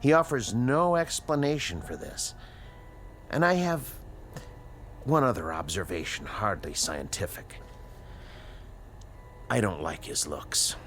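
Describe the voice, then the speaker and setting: An actor playing an educated, uneasy scientist in a radio drama, with precise, formal diction and dramatic pauses. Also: male voice, on the low side, big pitch swings, a bit bright, a little hiss or hum, exaggerated voice. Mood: uneasy, grave. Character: educated, uneasy male scientist, inventor of a time machine. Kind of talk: radio drama acting, dialogue and dictated log narration.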